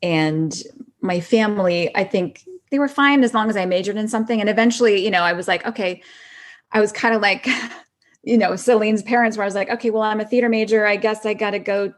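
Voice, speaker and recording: A woman speaking as a guest in a casual chat, telling personal stories in an informal, halting way peppered with "like". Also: medium pitch. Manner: informal, halting, heavy use of "like". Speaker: podcast guest, actress.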